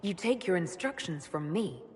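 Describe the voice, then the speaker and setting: An actress playing a frank, level-headed elven woman who leads an order in a video game, speaking calmly and directly. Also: lowish voice, moderate pace, husky. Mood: curious, supportive. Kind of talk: video game cutscene dialogue.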